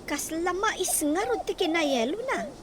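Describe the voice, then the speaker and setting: A woman's voice: very high voice, animated, normal speed. A woman in an Ilocano radio comedy drama, teasing and gossipy. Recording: noisy background hiss.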